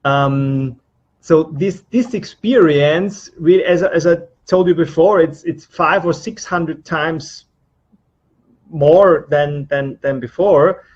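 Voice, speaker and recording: A man's voice: a little high, slow. A man from Austria with an Austrian accent answering interview questions hesitantly, repeating words as he searches for the next. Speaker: trainee being interviewed, business coach. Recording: dull, lo-fi sound.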